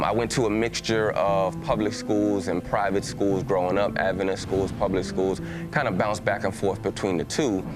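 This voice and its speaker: A man from Texas with a Southern accent, a pastor giving a conversational personal testimony to a church, with frequent restarts and filler words. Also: fairly low pitch.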